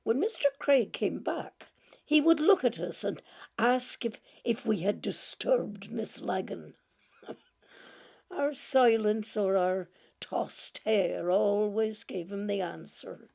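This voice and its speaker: A woman gently reading a short story aloud, often breaking into soft laughter. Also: medium pitch, expressive, slow, vocal fry, slightly rough.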